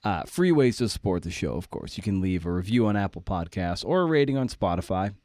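A man's voice: deep voice, expressive, brisk, darkish tone, no echo, studio quality. A man hosting a podcast, chatting casually through an introduction in an irreverent, slangy, self-deprecating way. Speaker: podcast host.

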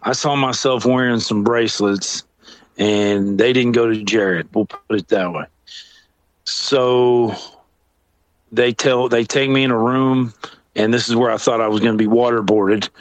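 A middle-aged man telling a story in a casual, comedic way. He is animated, acts out other people's lines and laughs often. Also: medium pitch, measured pace, no room sound, rough recording. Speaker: storyteller guest.

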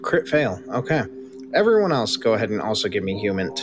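A man's voice: lowish voice, big pitch swings, brisk, music in the background. A man running a tabletop game as game master, laid-back, calling for dice rolls and narrating.

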